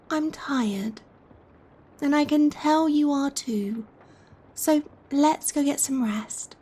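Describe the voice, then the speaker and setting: A woman playing a gentle, possessive giant vampire in an ASMR roleplay, coaxing and softly commanding the listener. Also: sits high, full character voice. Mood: tired, caring. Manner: slow, coaxing, softly commanding, breathy sighs and chuckles.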